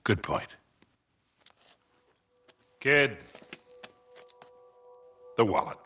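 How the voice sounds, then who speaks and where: An actor playing an unflappable middle-aged con man in a video game cutscene, husky, wry, needling and unhurried. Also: medium pitch, sing-song, bright, forceful tone, full character voice. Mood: wry, firm.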